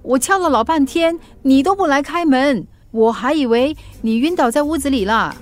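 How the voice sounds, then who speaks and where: A woman with a Singaporean accent acting in a radio drama as a feisty, teasing food delivery worker who is quick to retort. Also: sits high, animated. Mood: teasing, indignant. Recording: music behind the voice.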